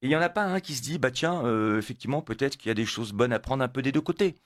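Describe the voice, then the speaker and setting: A man's voice: medium pitch, animated, very fast, dry recording, studio quality. A man born in Italy, a guest on a radio interview, talking emphatically and repeating words when pressed. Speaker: guest, motorcyclists' federation coordinator.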